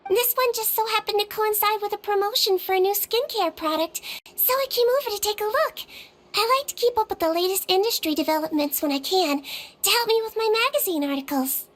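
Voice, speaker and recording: A woman voicing a small, gentle young girl nurse of a fairy-like species, polite and composed, in anime video game dialogue. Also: very high-pitched, a little fast. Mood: friendly. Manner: composed, courteous, matter-of-fact. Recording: music bed behind the voice.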